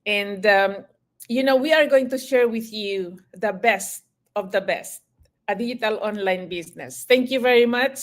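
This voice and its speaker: A woman's voice: mid-range voice, normal speed. An older woman, a retired nurse, co-hosting a live online webinar in a friendly manner with frequent fillers.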